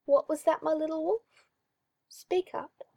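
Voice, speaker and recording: A woman's voice: high voice, fairly even intonation, mellow, no room sound, pitched above the performer's own voice. A cruel, possessive male magister, as a woman reader puts him on, croons with sly mock tenderness and stretched-out words. The sound is dry and close. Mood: cooing menace. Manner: cooing, drawn-out, mock-tender.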